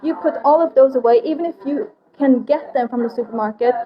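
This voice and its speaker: A woman raised in Sweden talks through her food project as an interview guest. She explains in plain, earnest steps in a high voice. Crowd chatter runs behind her. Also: fairly quick, highs cut off. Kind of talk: casual interview conversation.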